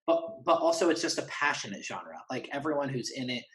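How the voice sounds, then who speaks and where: A man with a middle-pitched voice talks in a casual, enthusiastic way. He rambles as an interview guest and slips into quick imagined back-and-forth dialogue to make his points. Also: sing-song, fairly bright tone. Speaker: interview guest, horror fan and writer. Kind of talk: casual conversational chat.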